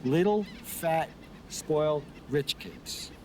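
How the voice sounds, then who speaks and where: A man from New York gives blunt recollections for a documentary. His voice is somewhat high for a man. Noticeable ambient noise surrounds him. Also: animated, takes it slowly, dark tone. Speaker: interviewee. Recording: noisy ambient location.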